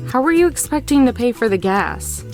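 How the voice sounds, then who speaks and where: A man's voice: extremely high pitch, very animated, a little fast, soft background music, voice pushed up, heavily acted. A man voicing a practical, guarded young woman in a visual novel, dry and a little exasperated. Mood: grudging.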